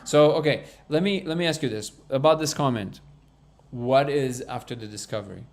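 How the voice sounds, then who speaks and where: A man's voice: medium pitch, normal speed. A man who grew up in Russia, hosting a live discussion stream, chatting casually and earnestly with viewers and reading out their comments as he goes. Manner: rambling, restarts, animated and earnest. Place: Southern California.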